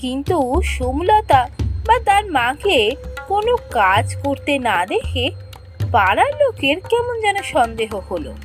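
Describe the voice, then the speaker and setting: A woman narrating a cartoon fairy tale in a lively, expressive storytelling manner. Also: sits high, unhurried, soft background music. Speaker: storyteller voicing all parts.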